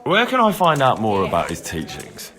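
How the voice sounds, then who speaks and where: A man's voice: on the low side, wildly swooping pitch, normal speed, fairly bright tone. A man acting a plain-spoken young investigator in a video game, dogged and a little wry. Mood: questioning.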